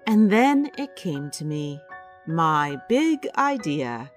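A well-bred wife with a guilty secret in a radio play. She speaks slowly and deliberately, with an animated, wry, confiding melody, as music plays underneath. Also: sits low, heavily acted. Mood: sly, scheming. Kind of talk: radio drama acting with confessional narration.

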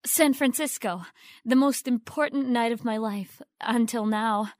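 An actress playing a humble young theater fan in a radio drama, speaking in an earnest, deferential, hesitant way. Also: female voice, fairly high pitch, measured pace, darkish tone, no echo, studio quality, lightly acted. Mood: earnest, wistful.

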